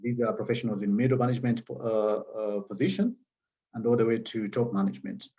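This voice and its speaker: A man with a southern African accent explaining his work as an interview guest, in measured, hesitant phrases. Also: lowish voice, mellow, lo-fi sound. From Zambia and Malawi. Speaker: interview guest, magazine founder.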